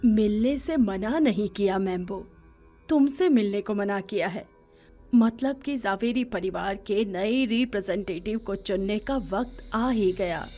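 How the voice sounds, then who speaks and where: A woman's voice: medium pitch, unhurried, warm tone, faint music behind, natural voice. A woman playing an authoritative elderly matriarch of a rich business family in an audio drama, firm and commanding. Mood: decisive. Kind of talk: Hindi audio drama acting.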